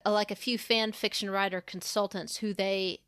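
A woman podcast co-host with a clear, smooth voice of medium pitch talks casually. She rambles informally as she thinks aloud, and the sound is very dry. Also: little pitch movement, moderate pace, fairly bright tone, no room sound.

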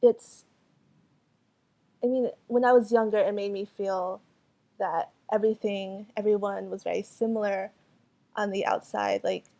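A woman's voice: medium pitch, steady tone, a little slow, vocal fry, pauses often, warm tone, muffled recording. A young woman artist whose first language was Cantonese answers interview questions hesitantly, with many fillers and restarts. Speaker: artist and photographer.

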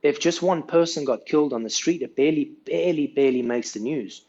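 A man's voice: on the high side, fast talker, darkish tone. South African man, dull lo-fi sound. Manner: earnest, tags sentences with "right?". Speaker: podcast guest. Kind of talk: casual life-story interview.

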